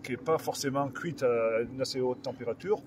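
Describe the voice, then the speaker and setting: A male farmer with a medium-pitched voice hosts an informal live interview. He talks in an earnest, colloquial, campaigning way. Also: moderate pace, noisy background. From France.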